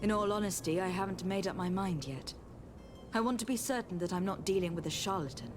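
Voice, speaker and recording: A woman's voice: medium pitch, over music, lightly acted. Woman acting a poised, skeptical game adventurer. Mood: cool, doubtful. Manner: measured, questioning, formal phrasing.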